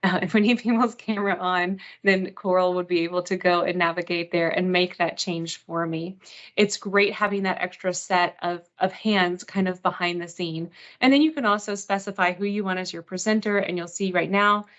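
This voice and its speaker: A woman hosting a live software training webinar in an informal, self-deprecating, reassuring way. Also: on the low side, fairly even intonation, normal speed. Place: online video meeting. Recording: dull lo-fi online meeting audio.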